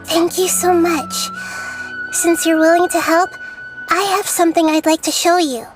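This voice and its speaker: A woman voicing a young girl deity who is calm, gentle and thoughtful, in a game cutscene. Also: extremely high pitch, normal speed, over music. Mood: earnest. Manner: soft-spoken, thoughtful.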